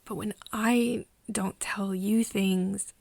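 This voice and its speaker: A woman in an ASMR roleplay playing a nervous, caring friend, speaking softly and haltingly and often slipping into breathy whispers. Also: mid-range voice, steady tone, dry recording. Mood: anxious, confessional. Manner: hesitant, halting, with pauses and sighs. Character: nervous, caring young woman confiding in her vampire friend. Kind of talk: ASMR roleplay, intimate scripted acting. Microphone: close microphone, dry room.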